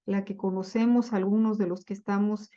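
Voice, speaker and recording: A woman giving a slide-based lecture on Bible translation, speaking deliberately with frequent fillers and self-corrections. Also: medium pitch, fairly even intonation, mellow, muffled recording.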